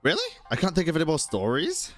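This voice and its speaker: A man's voice: a little high, quick pace. A man streaming a game with casual, exclamatory live reactions, often talking to himself. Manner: excitable, exclamatory, talks to himself. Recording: game music under voice.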